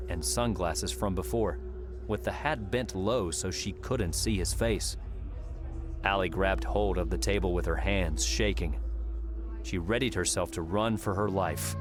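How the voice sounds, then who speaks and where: A man reading scripted story narration as an audiobook narrator. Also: low voice. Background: music.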